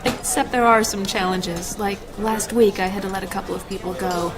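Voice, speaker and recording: An actress playing a kind, generous restaurant owner in an audio drama, effusive and sincere in manner. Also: female voice, medium pitch, fairly even intonation, normal speed, natural voice. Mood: welcoming. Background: music.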